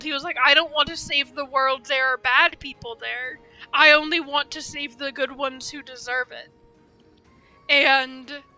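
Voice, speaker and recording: Female live game commentator, high voice, rambling fan musings, faint music underneath. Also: pushed and bright, dry recording, low-quality recording. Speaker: game streamer.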